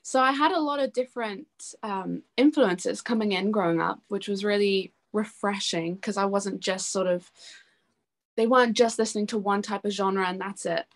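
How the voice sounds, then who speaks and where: A young woman chats as a guest in an interview, with a voice at an ordinary pitch. She talks informally and personally, linking her thoughts in a loose, conversational way. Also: normal speed. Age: young adult. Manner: chatty, informal, often says "like" and "you know". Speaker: interview guest, singer-songwriter. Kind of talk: casual chat interview.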